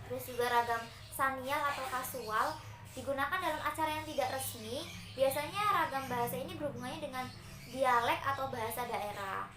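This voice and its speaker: A woman's voice: high-pitched, a little fast, roomy sound. A young woman from Central Java giving a class presentation, explaining step by step to her classmates with frequent hesitation fillers. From Central Java, Indonesia. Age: young adult. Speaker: university student presenter.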